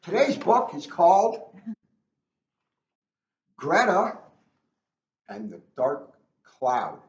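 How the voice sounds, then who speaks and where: A man's voice: fairly high pitch, wildly swooping pitch, extremely slow. A man reading a children's book aloud as a police officer, chatting and joking at his own expense in casual asides. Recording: echoey room, dull lo-fi, faint noise.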